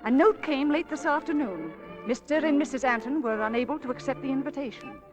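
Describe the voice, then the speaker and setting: An actress playing a gossipy, gracious titled society hostess, arch and effusive, in old-time radio drama style. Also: female voice, high-pitched, expressive, brisk. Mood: arch, teasing. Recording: dull vintage radio recording with music.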